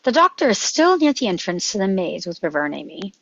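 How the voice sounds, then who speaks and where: A woman's voice: mid-range voice, very animated, a little fast, fairly bright tone, slight background noise. A woman reading stage directions as scripted narration at a table read, on a slightly dull microphone.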